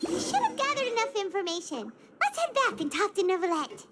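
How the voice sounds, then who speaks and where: A woman voicing a tiny, excitable, childlike fairy companion in a video game, chatty and exclaiming. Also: extremely high pitch, brisk. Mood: curious. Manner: refers to self in third person, exclaiming. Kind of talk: video game character voice acting.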